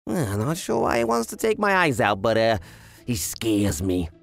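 A man voicing an excitable, chatty young gangster underling who scares easily, in an animated manga dub. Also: medium pitch, normal speed, vocal fry. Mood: admiring, chatty. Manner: excitable, exclamatory.

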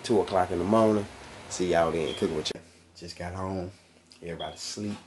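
A man's voice: sits low, fairly quick, a little hiss or hum. A man in his thirties filming himself on a phone in a small home kitchen, talking in a laid-back, slangy way as he shows a recipe. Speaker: cooking channel host. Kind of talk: casual home cooking vlog. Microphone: phone camera held at arm's length.

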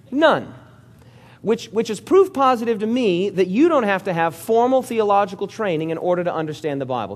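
A male pastor preaching to a congregation in a church hall. His voice sits high and swings widely in pitch. He keeps turning to the room with questions and jokes. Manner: lively, interactive preaching with audience questions and jokes. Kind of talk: sermon.

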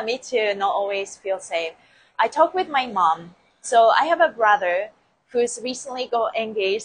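A woman's voice: medium pitch, slight background noise, poor audio. A woman in her forties from Japan with a Japanese accent, chatting casually to viewers on a livestream, often laughing and repeating phrases, recorded on a lapel mic indoors. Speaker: dance and movement coach. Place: indoor room with plants behind. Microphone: lapel mic clipped to shirt.

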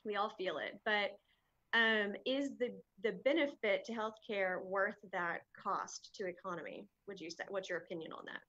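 Bright-voiced chatty woman interviewer. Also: mid-range voice, normal speed, highs cut off. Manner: chatty, friendly, laughs easily. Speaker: chamber of commerce interviewer. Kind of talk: casual video interview.

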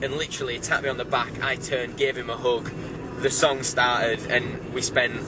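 A man's voice: medium pitch, little pitch movement, normal speed, background noise. A young man vlogging from inside a car at night, telling an eager, chatty story to a camera held at arm's length. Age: young adult. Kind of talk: casual vlog chat. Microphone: handheld camera at arm's length. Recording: distant mic, music playing.

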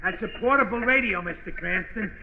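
A man's voice: sits high, fairly quick. An actor playing a comical, eager working-class cab driver who repeats his own phrases, in 1940s radio style. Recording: dull vintage radio recording.

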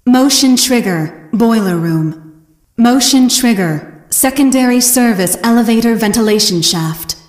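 A woman voicing a female computer announcer with flat announcing. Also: a little high, unhurried, reverberant.

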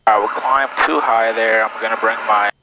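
A man's voice: lowish voice, steady tone, normal speed. A man flying a small plane, talking in clipped aviation radio phrases and cockpit self-talk through a headset. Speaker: student pilot flying solo. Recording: muffled headset audio with loud engine noise.

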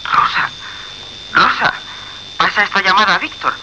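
A woman's voice: medium pitch, lively intonation, normal speed, natural voice. An actress playing a panicked young switchboard operator in a radio suspense drama, distressed and pleading. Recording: dull, noisy radio recording.

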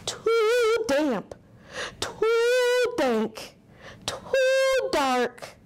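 A woman voicing an itty-bitty, timid, kindly mouse with a squeaky voice in a storybook. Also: sing-song, extremely slow, full character voice. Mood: alarmed.

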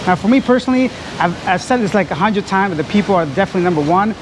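A man's voice: sits high, expressive, racing. A man from Canada hosting a casual street interview outdoors, asking teasing, joking questions. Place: outdoors in a busy city. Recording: loud traffic noise outdoors.

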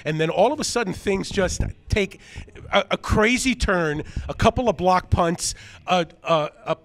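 A man's voice: sits high, creaky. A middle-aged man interviewing a coach after a game, recapping plays in detail before each question, on a handheld mic indoors. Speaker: sports interviewer. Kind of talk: postgame interview. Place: indoor locker room area.

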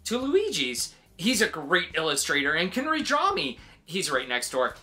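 A woman's voice: on the low side, huge pitch swings, normal speed, pushed and bright, full character voice. A woman voicing a chatty, meddling sheep with a hesitant, wheedling manner in a children's read-aloud. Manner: hesitant, wheedling, full of suggestions. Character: chatty, meddling, nervously cheerful storybook sheep. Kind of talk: animated children's picture-book read-aloud.

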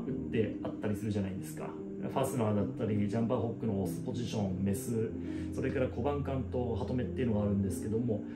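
Man presenting products in a roomy, echoing space. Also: mid-range voice. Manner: explanatory, conversational. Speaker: leather craftsman presenting his products. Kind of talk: casual product walkthrough. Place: small indoor room with product shelf. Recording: roomy echo, guitar music behind. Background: guitar music.

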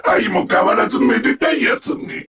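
An actor playing a sadistic, mocking combat-robot villain in a Japanese video game, with sneering, theatrical, drawn-out taunts. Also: male voice, extremely high pitch, animated, fairly bright tone, raised voice, distant mic.

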